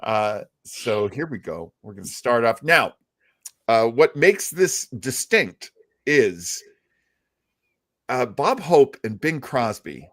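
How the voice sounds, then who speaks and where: A man's voice: mid-range voice, very animated, slow pace. A man hosting a casual live talk show, telling stories in a chatty, jokey, self-deprecating way. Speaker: host. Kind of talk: casual live show chat about old-time radio.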